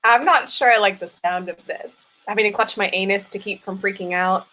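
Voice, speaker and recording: Lady acting a calm guarded woman in a play reading, wry and a bit hesitant, everyday delivery. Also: mid-range voice, a little fast, natural voice, rough recording. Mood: wary, puzzled. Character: guarded, serene woman meeting an old friend. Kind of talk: live play reading, naturalistic dialogue.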